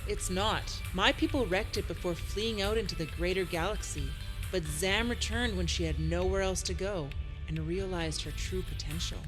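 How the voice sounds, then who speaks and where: A woman's voice: fairly low pitch, moderate pace, music in the background, lightly acted. A woman playing a brash, commanding space pirate captain in an audio drama, dramatic and daring. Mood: somber.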